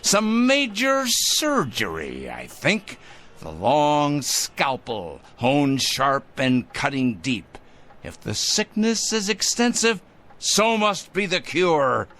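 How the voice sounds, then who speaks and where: A ranting, slow-spoken fanatical vigilante. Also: male voice, a little high, wildly swooping pitch, a bit bright, full character voice. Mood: fanatical scheming. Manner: ranting, drawn-out emphasis, muttering to himself. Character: petulant self-appointed vigilante, fanatical, sanctimonious and suspicious. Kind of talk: theatrical radio drama acting.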